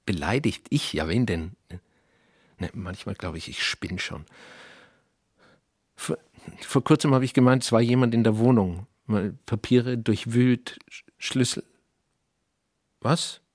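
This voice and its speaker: A man playing an uneasy, suspicious town writer in a radio drama, speaking in a halting, questioning way. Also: mid-range voice, darkish tone, no echo, studio quality, natural voice. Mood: agitated, self-doubting. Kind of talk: naturalistic German radio drama acting.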